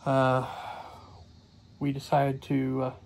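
A middle-aged man recaps his day in a slow, unhurried ramble full of "uh" and "um." His voice is gravelly and stays flat, with little rise or fall. A faint hiss sits under the phone recording. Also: mid-range voice. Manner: rambling, many fillers. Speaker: homestead vlogger. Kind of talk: casual daily vlog recap. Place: small cluttered room indoors. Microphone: phone camera close to face.

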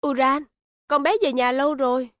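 A woman's voice: high voice, flat delivery, moderate pace, dry recording, studio quality, natural voice. Woman playing a concerned cartoon mother. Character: concerned, strict mother of robot children. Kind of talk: animated cartoon dub.

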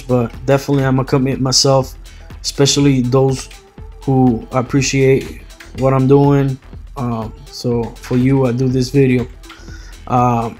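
A man's voice: medium pitch, darkish tone. A man from Puerto Rico, a native Spanish speaker with a heavy Puerto Rican accent, giving an informal barber tutorial in a hesitant, repetitive step-by-step way. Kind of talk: informal instructional voice-over.

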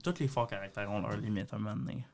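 A man's voice: fairly low pitch, rapid-fire, a little mellow. A young man with a Quebec French accent, talking casually as a panelist in a discussion. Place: small radio studio. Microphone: studio boom microphone.

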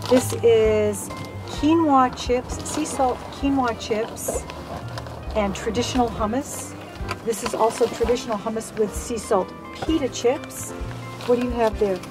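A woman's voice: on the high side, unhurried, music in the background, poor audio. A woman in her fifties hosting a casual snack review in a parked car, chatty and enthusiastic. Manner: chatty, enthusiastic, exclaims over items. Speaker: host. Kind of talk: casual chat, product review. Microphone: camera mounted in car.

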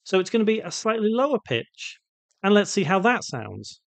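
A man narrating an unscripted software tutorial, casually thinking aloud as he demonstrates each step. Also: high-pitched, sing-song, moderate pace, vocal fry, no echo. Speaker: tutorial presenter.

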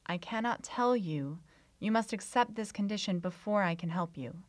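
A beguiling young woman healer in a radio play, played by a woman, enigmatic and measured in her old-fashioned phrasing. Her voice sits at a medium pitch and is recorded close and dry. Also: expressive, no room sound, close to an everyday voice. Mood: insistent, mysterious. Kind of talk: radio drama acting. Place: small indoor radio studio. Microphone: shared vintage-style studio mic on stand.

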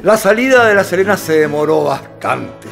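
A man's voice: high-pitched, very animated, normal speed, creaky, fairly bright tone, faint music behind, exaggerated voice. A man narrating a scripted radio drama as a composed, literary storyteller.

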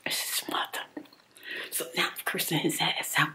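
Woman, whispered storytelling, bright tense tone, exasperated, slangy, swears, repeats words. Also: moderate pace. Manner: swears often, casual slangy speech.

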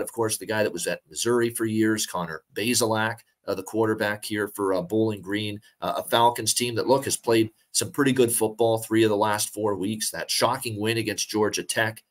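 A young man co-hosting a sports betting show, talking steadily over a video call with lots of filler words. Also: on the low side. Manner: steady, talkative, many "uh" fillers. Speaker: sports betting podcast co-host. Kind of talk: casual sports betting analysis. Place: home room, indoors.

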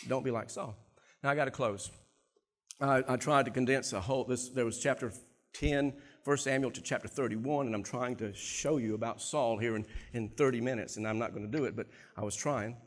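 A middle-aged man preaching a sermon from a church stage on a lapel mic, animated and swinging between quiet and loud passages. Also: medium pitch, a little fast. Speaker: pastor.

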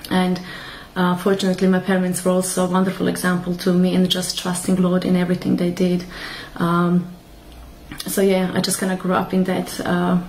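A woman's voice: lowish voice, darkish tone, slight background noise, poor audio. A woman, sharing her faith testimony to a webcam at home, hesitant with many fillers. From Croatia. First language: Croatian. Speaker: church member sharing testimony. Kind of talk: personal testimony to camera. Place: small room at home, indoors.

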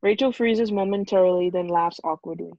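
A woman's voice: fairly low pitch, a little fast, dark tone, no echo. A woman reading stage directions aloud as scripted narration at a film table read. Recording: dull lo-fi video call audio.

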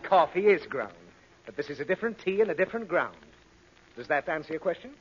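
An exasperated, fussy father in 1930s radio comedy. His voice sits high and leaps around in pitch. The old recording is muffled, with noise throughout. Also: big pitch swings, quick pace. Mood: impatient explaining. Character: exasperated, flustered father of a pesky child.

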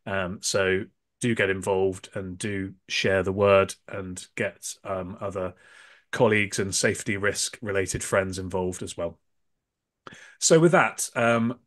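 A man's voice: lowish voice, fairly even intonation, takes it slowly, fairly bright tone. A man in his forties hosting a video call panel, upbeat and full of "uh" and "um" fillers. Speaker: podcast host.

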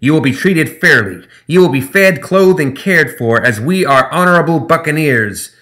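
A man's voice: a little high, animated, moderate pace, a bit bright, pitched above the performer's own voice. A man voicing Captain Zip, a pompous male gremlin sky pirate who makes grandiose, self-important proclamations.

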